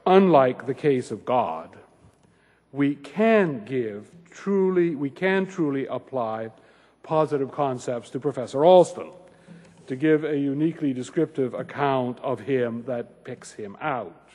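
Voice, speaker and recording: A man giving a scripted, formal introduction to a lecture, with a measured delivery and dry humour. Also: mid-range voice, darkish tone, breathy edge. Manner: measured, formal, dryly humorous. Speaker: host introducing a guest lecturer. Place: large lecture hall at a university. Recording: echoey hall, slightly muffled voice.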